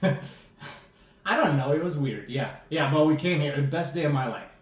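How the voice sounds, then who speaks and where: A man's voice: on the high side, animated, measured pace, far from the mic. A man of about forty from Romania, a comedian guest chatting casually and jokingly. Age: around 40. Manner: joking, laughs at his own lines. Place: small room at home, indoors. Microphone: podcast microphone on table arm. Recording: echoey room, slightly off mic.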